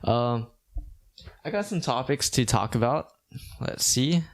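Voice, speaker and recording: A young man in his late teens from Hawaii, hosting a casual podcast chat in a flat, monotone way with lots of restarts. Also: medium pitch, a little slow. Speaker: podcast host.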